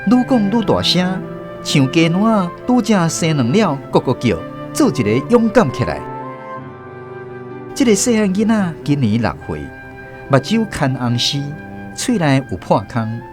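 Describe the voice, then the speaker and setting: A man narrating a radio drama as host, telling the story with expressive delivery. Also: a little high, a little slow. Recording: music playing under the voice.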